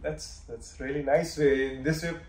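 A young man interviewing a professor, halting and repeating words as he forms his questions. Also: mid-range voice, steady tone, a little mellow. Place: office room, indoors. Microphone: far camera mic. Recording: roomy echo from far off.

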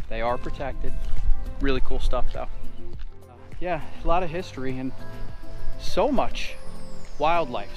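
A man in his thirties, a realtor vlogging outdoors on a wooded trail, talking in a relaxed, rambling way into a handheld camera. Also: mid-range voice. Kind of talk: casual walking vlog. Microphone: handheld camera close up.

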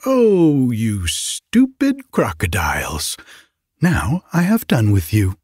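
Male narrator as storybook hare, small and sly, hushed, husky, very slow. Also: mid-range voice, huge pitch swings, dry recording, clean studio sound, heavily acted. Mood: mocking.

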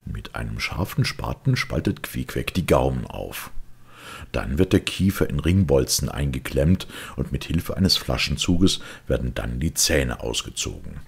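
Very deep, gravelly male audiobook narrator. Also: sing-song, measured pace. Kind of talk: literary audiobook reading, scripted narration.

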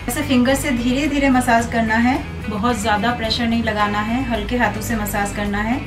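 A young woman calmly walks through a hair care routine step by step, speaking like a beauty vlogger in a small room. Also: fairly high pitch, recorded from a distance. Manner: calm, instructive, steady. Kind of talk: step-by-step tutorial.

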